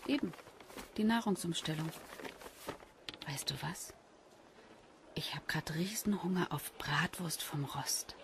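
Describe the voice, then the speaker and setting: An actress playing a prim, assertive pregnant wife in a radio drama. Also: female voice, sits low, slow, long pauses. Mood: confiding. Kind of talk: German radio drama acting. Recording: clear background noise and ambience.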